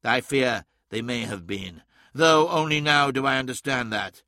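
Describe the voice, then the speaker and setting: A man voicing a proud male sorcerer lord, speaking gravely and with solemn measure. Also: medium pitch, measured pace, pushed and bright, no room sound, clean studio sound. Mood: remorseful. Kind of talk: audiobook character voice.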